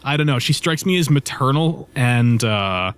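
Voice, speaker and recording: A man co-hosting a let's play with casual, rambling, profane commentary, often halting as he searches for words. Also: medium pitch, expressive, fairly bright tone, clear, resonant tone.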